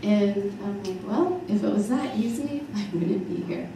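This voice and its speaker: A soft-spoken woman in her thirties speaking earnestly on a college panel, with small laughs between points. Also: medium pitch, measured pace, background noise. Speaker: panelist, eating disorder therapist. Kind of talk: panel talk to an audience. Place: large hall at a college panel table. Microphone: handheld mic, heard from afar. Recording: echoey hall, distant mic.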